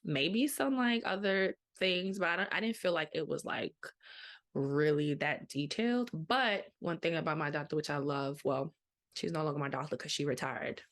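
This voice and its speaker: A woman in her thirties hosting a podcast, telling a personal story in a casual, chatty way with lively quoted asides. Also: low-pitched, normal speed. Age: 30s. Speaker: podcast host. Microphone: podcast microphone.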